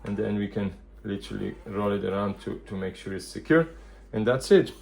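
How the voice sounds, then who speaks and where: A man presenting a casual hands-on product demonstration, thinking aloud in loose, halting phrases. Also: lowish voice, gravelly, darkish tone. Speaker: vintage hi-fi video presenter.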